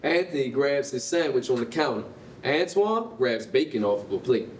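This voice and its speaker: A man's voice: mid-range voice, animated, moderate pace, darkish tone. An American man reading scripted stage directions as narrator at a staged reading. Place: concrete-walled rehearsal hall. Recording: roomy, distant mic, faint noise.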